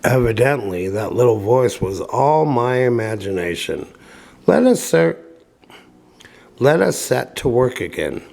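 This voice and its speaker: A man's voice: medium pitch, very animated, unhurried, gravelly, a little mellow, heavily acted. A man voicing an old, easily rattled carpenter who talks to himself in a storybook reading. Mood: self-reassuring. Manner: muttering to himself, self-reassuring. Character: good old carpenter, bewigged, easily frightened, muttering to himself. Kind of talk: storybook character voice.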